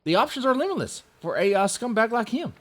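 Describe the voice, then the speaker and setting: A man's voice: very high voice, sing-song, brisk, a bit bright, pitched above the performer's own voice, heavily acted. A man voicing an aggrieved, spiteful blogger in a whiny, exaggerated, indignant dramatic reading. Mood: scornful. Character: aggrieved, spiteful male blogger ranting about his enemies.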